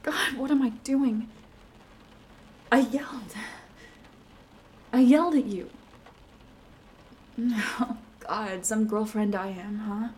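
Woman doing a girlfriend w a temper, talks right at you, kinda high voice, slow and earnest. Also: darkish tone. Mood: remorseful. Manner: emotional, earnest, addresses listener directly. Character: young adult girlfriend with a temper. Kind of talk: listener-directed audio roleplay.

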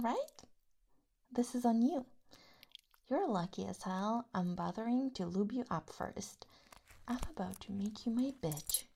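A young woman acting out a furious, domineering woman who curses constantly, snapping loudly and then dropping to low whispers. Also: vocal fry, slight background noise. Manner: profane, snapping outbursts that drop to low whispers. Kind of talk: audio roleplay acting.

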